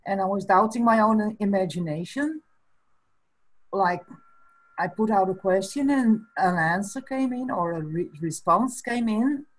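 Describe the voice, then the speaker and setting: A Dutch woman in her fifties whose first language is Frisian, talking slowly and thoughtfully in a casual chat over a webcam from a small room. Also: mid-range voice, poor audio. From Netherlands. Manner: slow and thoughtful, breaks into small laughs. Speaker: guest. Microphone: video call webcam.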